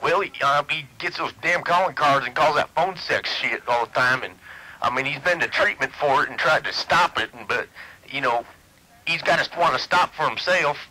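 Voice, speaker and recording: A man playing a crude, slow-witted, easily irritated redneck stoner on a prank phone call, with folksy drawling, muddled wording and swearing. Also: fairly high pitch, sharp tone. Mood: folksy, rambling. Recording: noisy telephone line recording.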